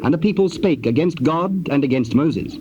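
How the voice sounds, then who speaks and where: A man narrating scripture in a formal, solemn and measured manner, as part of a dramatized reading. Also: on the high side, animated. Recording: dull, noisy old cassette tape.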